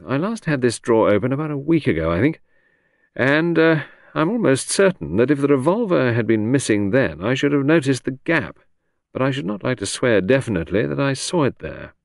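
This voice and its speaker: A man playing a grave, weary older country gentleman who speaks in a measured, sober voice. His pitch moves a lot, and the sound is close and dry. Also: very animated, vocal fry, dry recording.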